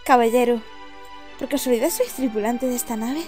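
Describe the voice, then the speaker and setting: A woman's voice: on the high side, normal speed, a little mellow, soft background music, lightly acted. A woman from voicing a courtly, formal young princess from a video game. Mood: polite.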